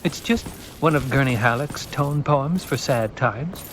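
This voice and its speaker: A man's voice: medium pitch, expressive, measured pace, fairly bright tone, a little hiss or hum, voice pushed up, rough recording. A man voicing an earnest, guarded teenage boy in an audiobook, lighter and dreamy in manner.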